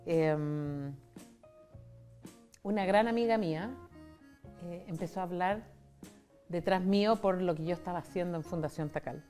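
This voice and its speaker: Interview guest: Chilean woman in her 60s, slightly low voice, candid personal storyteller. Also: a little slow, long pauses, dark tone, faint music behind. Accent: Chilean Spanish. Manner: candid, conversational, retells dialogue. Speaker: interview guest, foundation founder.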